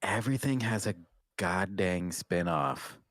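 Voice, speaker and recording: A man with a deep voice speaks in a very dry recording space. He co-hosts a casual podcast and talks in an informal, conversational manner. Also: animated, measured pace, a bit bright, no room sound. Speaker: podcast co-host. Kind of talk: casual chat.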